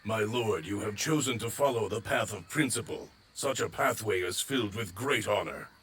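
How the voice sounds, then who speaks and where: An actor playing a loyal, honor-bound warrior general in a video game, speaking in a solemn, formal, declamatory way. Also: male voice, on the low side, moderate pace, a little hiss or hum, natural voice. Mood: solemn, respectful. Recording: loud music over the voice.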